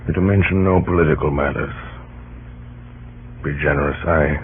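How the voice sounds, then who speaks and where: An actor playing a grave, humane, weary middle-aged president in an old-time radio drama, speaking in a measured, solemn, plain way with a husky voice. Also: male voice, very deep voice, fairly even intonation, exaggerated voice. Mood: gentle, grave. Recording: muffled, tinny old radio recording.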